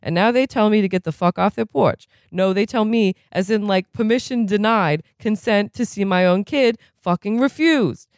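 A reader putting on a profane, rambling man in recovery who is quick to rage and keeps asking if you know what he's saying. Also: medium pitch, no room sound, clean studio sound, pitched above the performer's own voice, natural voice. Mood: resentful. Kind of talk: reading a novel aloud, putting on a character.

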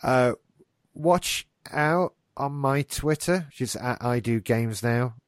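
A man's voice: medium pitch, slow pace, dry recording, studio quality. A man hosting a casual gaming news podcast, rambling and swearing lightly, a bit stuffed up. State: getting a stuffy nose. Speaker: podcast host. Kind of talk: casual chat.

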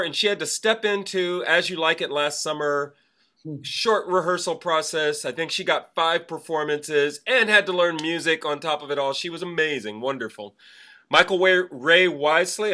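A man co-hosting a theater podcast, reading off birthdays in casual, animated chat with some swearing and trailing fillers. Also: fairly high pitch. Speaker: podcast co-host.

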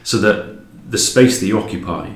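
A man's voice: lowish voice, animated, normal speed. A man talking as an interview guest, explaining a creative project conversationally with plain examples. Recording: echoey room.

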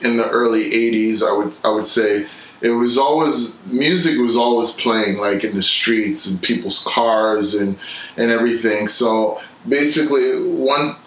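Relaxed male podcast guest, casual and slangy, recorded in a roomy studio. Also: medium pitch. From born in Toronto, raised in New York. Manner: laid-back, heavy slang and fillers.

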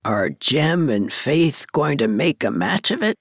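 A blunt old village busybody, acted out by a woman narrator in a storybook, pitched way down and slow. She is forthright and emphatic, and her tune swings widely. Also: sing-song, breathy edge, dry recording, clean studio sound, full character voice. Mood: knowing, emphatic. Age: older. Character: blunt, opinionated older woman, village gossip and matchmaker.

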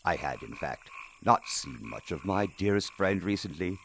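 A gloomy, self-important gothic horror storyteller in a radio play. The voice sits very deep and moves widely, with grandiloquent, droll melodrama in the phrasing. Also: male voice, sing-song, normal speed, vocal fry, a bit bright, slight background noise, exaggerated voice. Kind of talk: old-time radio play narration.

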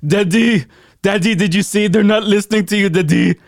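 A man's voice: high voice, fairly quick, no room sound, studio quality, voice pushed up. A man voicing a whiny grown man who begs his daddy for approval in a childish, pleading sing-song. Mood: whining.

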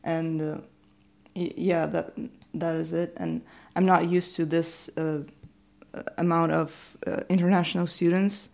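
A seventeen-year-old woman from Ukraine, a native Ukrainian speaker, answers an interview. Her voice sits low and has a gravelly fry. She hesitates often and fills pauses with "like" and "uh.". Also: a little mellow. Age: teens. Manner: hesitant, many fillers and restarts. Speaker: student interviewee.

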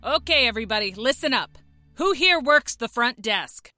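Woman acting a curt lady merc boss, sharp highish voice, giving orders. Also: expressive, moderate pace, faint music behind. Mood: commanding. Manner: curt, commanding. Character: curt, threatening female mercenary squad leader. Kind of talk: audio drama acting.

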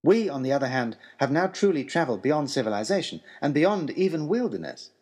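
A man with a fairly high, slightly husky voice narrates an adventure journal. His delivery is animated and dramatic, with formal, old-fashioned phrasing throughout. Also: fairly quick. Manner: expressive, dramatic, formal period diction. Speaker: storyteller, first-person narrator.

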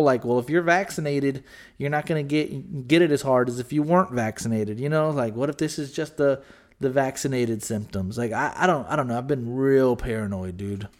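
A man's voice: medium pitch, a little mellow. A man talking loosely on a podcast, stuffy and a little scratchy in the throat. He circles back on himself, restarts sentences and drops the odd curse word. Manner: rambling, restarts often, swears casually. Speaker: podcast co-host. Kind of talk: casual podcast chat.

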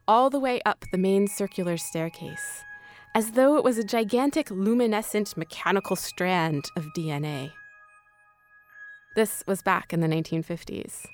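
A woman's voice: medium pitch, a little hiss or hum. A woman hosting and narrating a scripted audio documentary. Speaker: podcast host and narrator.

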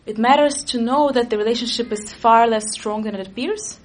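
A young woman, a native Russian speaker who grew up in Latvia, answering interview questions as an expert, thinking aloud with fillers and restarts. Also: a little high. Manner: quick, analytical, with frequent fillers and restarts.

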